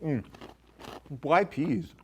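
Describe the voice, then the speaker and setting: A man's voice: on the high side, animated, drawn-out words, slightly rough. A man hosting a casual film discussion, conversational and jokey, often posing questions. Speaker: podcast host.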